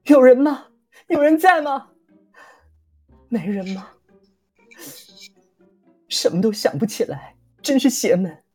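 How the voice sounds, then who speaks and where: A woman's voice: high voice, animated, slow, long pauses, a little mellow, husky, soft background music, pitched above the performer's own voice, heavily acted. A woman voicing a flustered, indignant little game character in an audio drama, exclaiming and muttering complaints. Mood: confused, uneasy.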